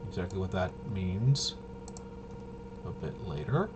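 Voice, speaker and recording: A man with a lowish voice talks his way casually through a game walkthrough. He rambles and mutters to himself as he thinks, dropping in playful interjections. There is clear background noise on the recording. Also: sing-song, measured pace. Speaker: host of a tabletop RPG channel.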